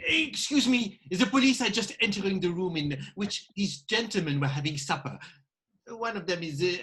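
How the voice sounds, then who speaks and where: An anxious, fussing hotel proprietor from Victorian farce, played by a man. His pitch swings widely as he talks. His manner is urgent and polite, with hesitations. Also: fairly bright tone, exaggerated voice. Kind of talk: staged reading of Victorian farce.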